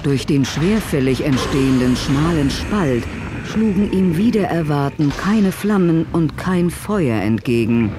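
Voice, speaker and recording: Slow, measured male storyteller. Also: fairly high pitch, animated, noisy background. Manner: measured, literary. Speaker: narrator. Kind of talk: scripted dramatic narration.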